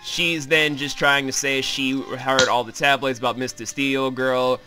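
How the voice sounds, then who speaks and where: A man's voice: medium pitch, little pitch movement, unhurried. A man hosting a joking, crude and irreverent recap of a video game. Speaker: host, self-described comedic voice actor. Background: game music.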